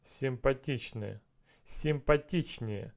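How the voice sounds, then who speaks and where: A man in his thirties on a headset mic in a small room, working through language drills out loud, repeating and translating each phrase and muttering to himself. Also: mid-range voice, slow pace, creaky. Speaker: language learner streaming a lesson. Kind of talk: thinking aloud while doing app exercises.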